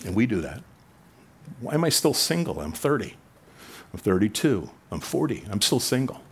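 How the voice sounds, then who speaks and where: A man preaching a sermon in a conversational way, rising in pitch and volume for emphasis. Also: very animated, normal speed, slightly rough. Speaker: pastor.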